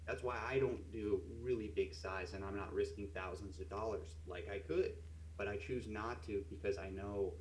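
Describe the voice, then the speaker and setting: A man's voice: lowish voice, fairly even intonation, moderate pace, a little mellow, echoey room, slight background noise, rough recording. A man in his thirties, an earnest trading mentor giving casual, encouraging advice in long run-on stretches. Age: 30s.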